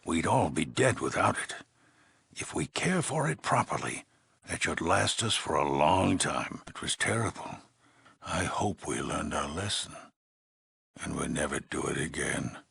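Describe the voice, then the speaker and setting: Gravelly, weary old vault leader. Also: male voice, extremely low pitch, lively intonation, fairly bright tone, full character voice. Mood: somber, guarded. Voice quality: gravelly, husky. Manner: measured, solemn, sighing, weighted pauses. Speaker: overseer of a vault community. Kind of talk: dramatic video game dialogue.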